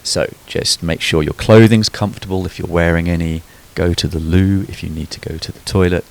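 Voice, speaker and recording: A man leading a guided sleep meditation, giving soothing, unhurried instructions with frequent pauses. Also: sits low, steady tone, gravelly, low-quality recording. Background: background music.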